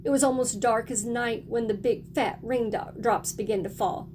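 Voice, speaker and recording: A woman's voice: fairly high pitch, steady tone, normal speed, darkish tone, a little hiss or hum. A woman teacher leads a read-aloud lesson for young children, encouraging them and prompting them with questions and repeats.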